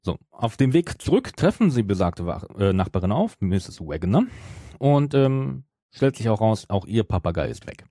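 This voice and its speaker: Low, lively, mellow man podcasting. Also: moderate pace, no room sound, clean studio sound. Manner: hesitant, self-correcting, many broken-off restarts. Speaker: podcast co-host. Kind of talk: casual podcast talk, retelling a story.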